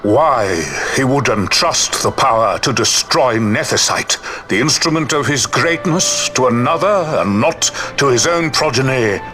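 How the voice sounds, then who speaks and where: An actor playing an ancient, serene non-human seer in a fantasy game, speaking solemnly with archaic, oracular phrasing. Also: male voice, mid-range voice, expressive, unhurried, pushed and bright, faint music behind. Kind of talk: dramatic video game voice acting.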